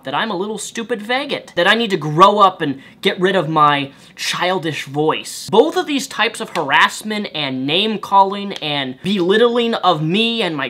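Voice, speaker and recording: A young man with a higher-pitched voice, giving reaction commentary in an indignant, rhetorical way and repeating his points. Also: pushed and bright. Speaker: YouTube commentator.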